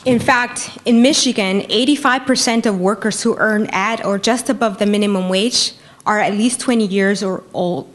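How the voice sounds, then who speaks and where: A woman's voice: medium pitch, normal speed. A woman from Peru giving a prepared debate speech as a debater, earnest and emphatic, stressing key phrases. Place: university lecture hall.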